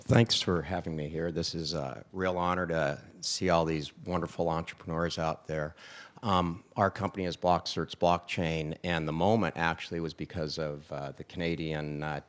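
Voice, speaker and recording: A man on a conference stage, telling a lively founder's story as a panelist. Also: normal speed. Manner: animated storyteller, pitch jumps for emphasis. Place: conference stage before an audience.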